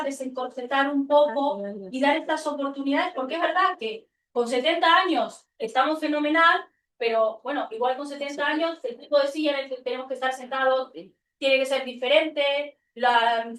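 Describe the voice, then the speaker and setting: A woman's voice: high-pitched, fairly bright tone. A woman with an accent from Spain giving an informal, spontaneous seminar talk. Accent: Spanish, from Spain. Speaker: seminar speaker. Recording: echoey room, far from the mic.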